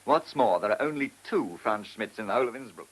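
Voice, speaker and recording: A man acting a brisk, matter-of-fact police officer reporting to his inspector in a radio play. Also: fairly low pitch, expressive, husky, poor audio.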